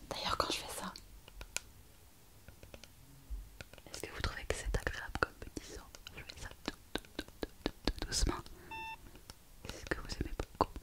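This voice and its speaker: A woman playing an excitable, caring young hospital worker in a whispered ASMR roleplay, gossipy and hesitant as she tells a story. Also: a little fast, long pauses, close to an everyday voice. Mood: gentle, delighted. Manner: breathless, hesitant storytelling to the listener.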